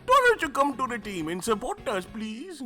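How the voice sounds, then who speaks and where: A woman promoting a fan series, pleading for support in a halting, coaxing way. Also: a little high, very animated, bright, forceful tone, faint music behind. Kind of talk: hesitant, pleading support appeal.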